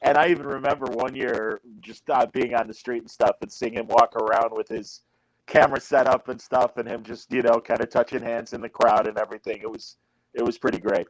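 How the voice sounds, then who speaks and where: Animated man telling anecdotes. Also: medium pitch, brisk, dry recording, low-quality recording. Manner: animated anecdotes, laughs often, acts out quotes. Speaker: podcast guest. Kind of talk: casual storytelling chat.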